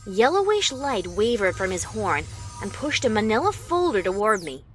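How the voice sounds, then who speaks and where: A woman's voice: medium pitch, normal speed, soft background music, natural voice. A woman voicing a tense pony author who narrates her own story dramatically, as a fan fiction reading. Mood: tense, wry. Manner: dramatic, expressive.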